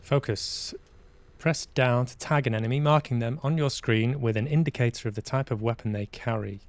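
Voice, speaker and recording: A man's voice: medium pitch, normal speed. A man giving casual live game commentary, muttering to himself and reading prompts aloud. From Manchester, UK. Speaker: game streamer. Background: faint game music.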